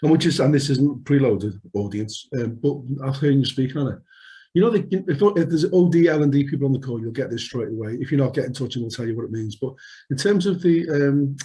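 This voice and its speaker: A man's voice: medium pitch, warm tone. A middle-aged man with a Liverpool accent co-hosting an unscripted podcast, jokey and rambling with frequent restarts. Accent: Liverpool (Scouse). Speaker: podcast co-host.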